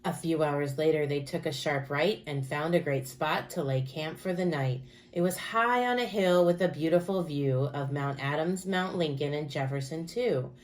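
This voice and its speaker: A woman teacher reading a rhyming picture book aloud to children in an expressive, rhythmic way with dramatic emphasis. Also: sits low, moderate pace, few pauses.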